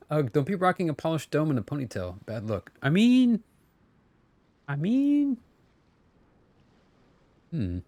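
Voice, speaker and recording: A man's voice: on the high side, very animated, takes it slowly, pauses often, darkish tone, no echo. A man live-streaming a game with casual, rambling commentary, often correcting himself as he goes. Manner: rambling, self-correcting, chatty. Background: game music.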